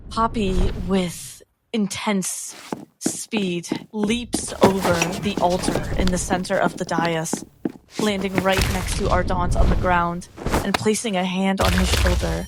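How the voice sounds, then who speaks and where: A woman narrates her character's moves in a tabletop fantasy game. Her delivery is measured and very slow, mid-pitched, with loud music behind her. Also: vocal fry. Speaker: player.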